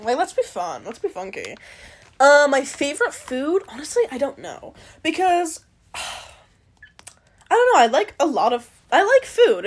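A young woman from Canada hosting a podcast alone, rambling casually with many fillers and some swearing. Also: fairly high pitch. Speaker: podcast host. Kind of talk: casual solo chat.

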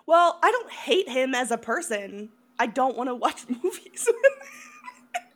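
Female podcast co-host, higher-pitched and lively, opinionated and giggly in loose movie chat. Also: unhurried. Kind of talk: casual film chat.

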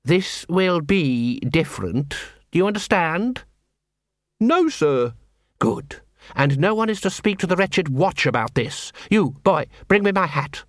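A man voicing a cold, curt male head of a guild of assassins, snapping orders in a slow, raised voice. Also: on the high side, very animated, dry recording, studio quality, pitched above the performer's own voice. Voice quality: sharp, curt, commanding.

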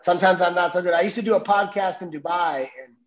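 A man hosting a podcast, asking casual questions in a relaxed, self-deprecating way and often repeating words as he starts. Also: sits high, fast talker, crowd noise. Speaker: podcast host. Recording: dull lo-fi recording.

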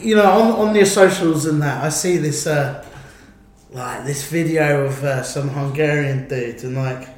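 A man's voice: fairly high pitch, unhurried. An English man chatting casually on a podcast, rambling and restarting his sentences. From England. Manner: rambling, restarts, laughs often. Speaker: podcast host. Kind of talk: casual chat. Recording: very echoey room, faint ambience.

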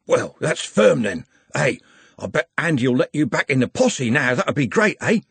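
A man's voice: mid-range voice, very animated, moderate pace, vocal fry, fairly bright tone, slightly rough, dry recording, voice pushed up, full character voice. A man voicing a whiny, overweight young man who pleads anxiously. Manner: whining and pleading.

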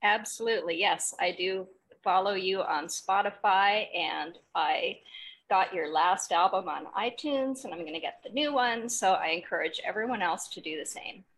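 A woman's voice: medium pitch, moderate pace, fairly bright tone, rough recording. A woman hosting a podcast, handling interview questions and show announcements. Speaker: podcast host.

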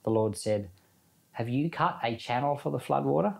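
A man's voice: medium pitch, expressive, moderate pace, vocal fry. A man giving an informal sermon as a Bible teacher, reading scripture and commenting in a conversational way with rhetorical questions.

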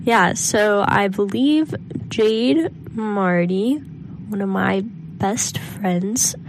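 A woman's voice: mid-range voice, drawn-out words, background noise. Congested young woman co-hosting a podcast. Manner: chatty, says like a lot. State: congested, scratchy throat. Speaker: podcast co-host. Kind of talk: casual chat. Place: small studio. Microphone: studio mic.

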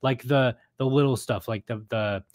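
A man's voice: mid-range voice, normal speed, no echo, clean studio sound. A man co-hosting a casual gaming podcast, talking enthusiastically in a rambling way and repeating phrases for emphasis. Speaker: podcast co-host.

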